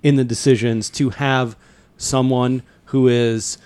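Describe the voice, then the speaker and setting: A man's voice: mid-range voice, little pitch movement, slow, a little mellow, smooth. An American man co-hosting a casual conversation, phrasing his questions carefully and a little tentatively. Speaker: co-host asking questions.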